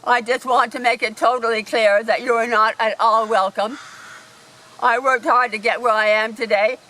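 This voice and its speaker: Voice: medium pitch, pushed and bright, lightly acted. A performer playing a bitter, contemptuous alien starship captain in an amateur radio play, making pompous, curt announcements. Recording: clearly noisy amateur recording.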